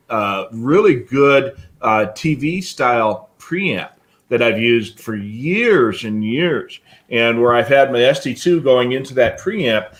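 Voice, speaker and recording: A man with a middle-of-the-road pitch talks casually as a co-host on a hobby show. He explains technical details in a practical, plainspoken way. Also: fairly bright tone.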